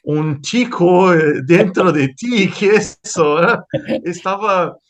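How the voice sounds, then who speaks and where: A Brazilian man whose native tongue is Portuguese talks through an interview in Spanish, stopping, restarting and filling gaps as he searches for words. His voice sits a bit high for a man. The sound comes over a video call. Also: takes it slowly. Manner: hesitant, many restarts and fillers. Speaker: interviewed scientist. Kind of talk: conversational interview.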